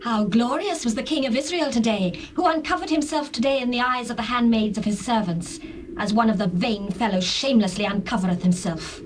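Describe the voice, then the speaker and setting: An actress playing a haughty royal wife in a scripture drama, sarcastic and disdainful. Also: female voice, fairly high pitch, fairly quick, a little hiss or hum, lightly acted, poor audio. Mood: scornful.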